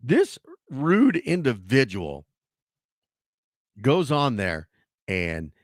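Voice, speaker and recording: Hoarse male sports talk host, lively pitch, dry close sound, loose and ranting. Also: very slow, no echo. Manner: animated, ranting, with frequent restarts. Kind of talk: casual sports talk commentary.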